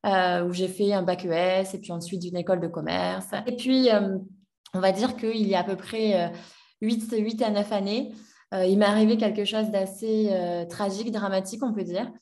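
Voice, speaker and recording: A woman's voice: medium pitch, fairly even intonation, normal speed, a little mellow. A woman in her twenties telling her personal story as a podcast guest, hesitant, with many fillers and restarts. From France. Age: 20s. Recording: voice a bit distant from the mic.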